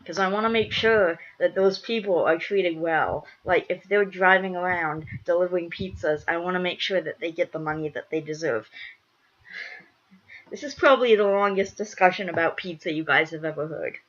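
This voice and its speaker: Young woman, congested voice, lowish pitch, casual vlog rambling, repetitive and emphatic, dull lo-fi recording. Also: normal speed, a bit bright. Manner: repetitive, emphatic, restating phrases. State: congested from a cold. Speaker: vlogger. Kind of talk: casual rambling chat to viewers.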